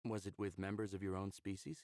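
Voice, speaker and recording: A man's voice: sits low, level tone, brisk, a little mellow, no echo, clean studio sound. An actor playing an easygoing, friendly male space pilot in a visual novel game, talking in casual, laid-back phrases. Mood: casual, candid.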